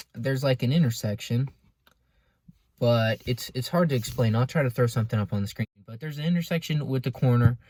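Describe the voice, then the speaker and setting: A man's voice: mid-range voice, a little mellow, no echo. A young American man telling a personal story casually, with dramatic emphasis and sarcastic asides. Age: young adult. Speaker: vlogger. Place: inside a car.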